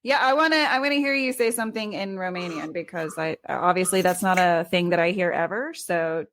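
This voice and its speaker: A woman's voice: fairly low pitch, brisk, fairly bright tone. A woman hosting a podcast, chatting casually and encouraging her guest with frequent affirmations. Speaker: podcast host. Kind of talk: casual interview chat.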